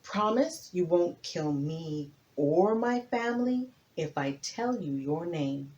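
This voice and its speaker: Woman as a slow, deliberate little tortoise. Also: lowish voice, lively intonation, darkish tone, highs cut off. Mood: cautious. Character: small, sly, careful male tortoise. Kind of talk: theatrical folktale storytelling.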